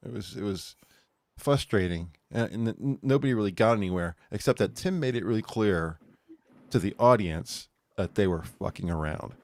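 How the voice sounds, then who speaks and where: Low-voiced man in dry, rambling chat. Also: animated, moderate pace, a little mellow, no room sound. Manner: rambling, laughs at own jokes. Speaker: podcast co-host. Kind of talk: casual chat.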